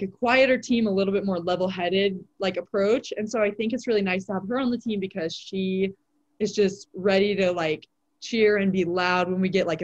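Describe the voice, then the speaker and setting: A teenage girl, a high school volleyball player, answering interview questions in a casual way with lots of "like" fillers. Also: muffled recording. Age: teens. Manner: chatty, many "like" fillers.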